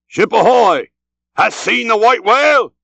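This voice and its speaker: A man's voice: fairly high pitch, normal speed, gravelly, bright, forceful tone, no echo, muffled recording, voice pushed up, heavily acted. A man voicing an obsessed, fierce-tempered old whaling captain, speaking in urgent archaic nautical commands and questions, as in a storybook reading. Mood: hailing, demanding.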